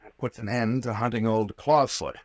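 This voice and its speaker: An actor playing a brash, sardonic captain and investigator with folksy slang in an old-time radio drama. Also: male voice, medium pitch, normal speed, lightly acted. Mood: brusque, wry. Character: gruff wisecracking sea captain playing armed investigator.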